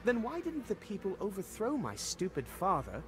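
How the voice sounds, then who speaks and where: A man's voice: sits high, wildly swooping pitch, moderate pace. A man voicing a self-pitying, entitled royal heir in a video game, theatrical and indignant. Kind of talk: video game cutscene acting.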